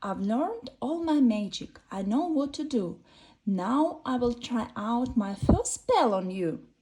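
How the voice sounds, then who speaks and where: Little witch girl, impatient, fiery, high and bouncy , woman puts her on, storybook read. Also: takes it slowly, slightly rough, exaggerated voice. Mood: gleeful. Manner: excited, huffy exclaiming. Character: little female witch, impatient and hot-tempered.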